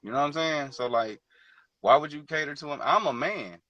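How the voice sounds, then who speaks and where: A man's voice: a little high, a little fast, pushed and bright, no room sound, dull audio. Laid-back slangy man on a video call. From Detroit and Georgia, born in Hawaii. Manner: laid-back, slangy, many fillers and "you know what I'm saying". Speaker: guest musician. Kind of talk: casual interview chat.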